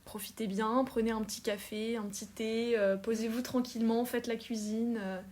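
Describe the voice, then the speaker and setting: Young woman hosting, fairly high voice. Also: little pitch movement, normal speed, darkish tone. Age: young adult. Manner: friendly, with frequent fillers. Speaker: student podcast host. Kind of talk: podcast intro and interview.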